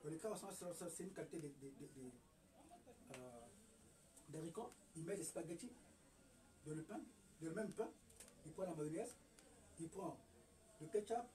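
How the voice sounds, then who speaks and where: A man's voice: on the high side, moderate pace, long pauses, dark tone, recorded from a distance, low-quality recording. A man from the Congo lecturing on diet and remedies, hectoring with rhetorical questions and stammered restarts. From Republic of the Congo. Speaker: herbal remedy promoter. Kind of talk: lecturing monologue.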